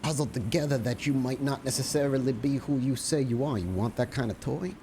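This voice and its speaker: A man's voice: medium pitch, fairly quick, mellow, voice pushed up, lightly acted. A man acts out a pragmatic male vampire in a tabletop game, with a mid-range voice. He is sardonic and blunt, needling as he warns. There is noise behind the voice. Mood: sardonic warning.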